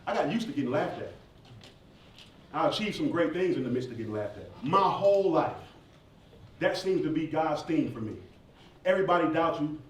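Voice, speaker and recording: A man answers audience questions as a performer, telling stories in a casual, joking, informal way. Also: a little high. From southern United States. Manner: joking, informal, animated storyteller. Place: echoey indoor event space with audience. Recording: echoey room, distant mic, background noise.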